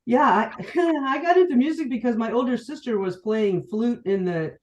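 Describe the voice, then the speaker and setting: A woman's voice: on the high side, normal speed, roomy sound. The recording is a little dull and lo-fi. A middle-aged woman from New Hampshire talks as a podcast guest, sharing personal anecdotes in an informal chat. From New Hampshire, United States.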